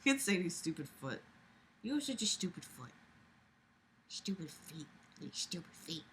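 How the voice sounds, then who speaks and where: A woman's voice: lowish voice, big pitch swings, moderate pace, fairly bright tone, a little hiss or hum. A young woman reading aloud and commenting casually in a sarcastic, exasperated, blunt way, recorded in a room at home. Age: young adult. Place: small room at home, lying on a bed.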